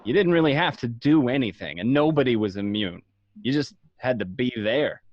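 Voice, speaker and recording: Actor as blunt mobster, dry close sound. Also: male voice, medium pitch, lively intonation, normal speed, dry recording, natural voice. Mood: matter-of-fact. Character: seasoned mobster, blunt, matter-of-fact about violence. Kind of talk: scripted first-person narration in an audio drama. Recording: dull, dry lo-fi home recording.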